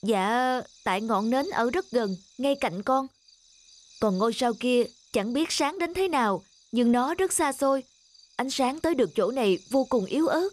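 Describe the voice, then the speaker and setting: A woman's voice: on the high side, expressive, moderate pace, slight background noise, natural voice. Woman voicing a hesitant, troubled married businessman. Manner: hesitant, earnest. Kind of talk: scripted cartoon dialogue.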